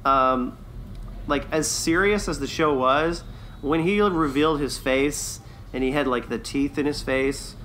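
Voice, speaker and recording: A man's voice: on the high side, measured pace, fairly bright tone, slight background noise. A man co-hosting a review podcast, chatting casually and enthusiastically in an informal way. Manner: informal, enthusiastic, laughs often. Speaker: podcast co-host reviewing a TV series. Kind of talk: casual chat, review discussion.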